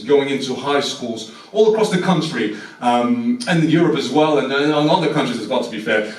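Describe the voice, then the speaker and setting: A young man with a Portuguese accent, giving a chatty, self-deprecating talk to a church congregation, with frequent restarts. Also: mid-range voice. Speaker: mission team speaker. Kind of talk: informal talk at a church service. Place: church hall. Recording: echoey room, mic far off.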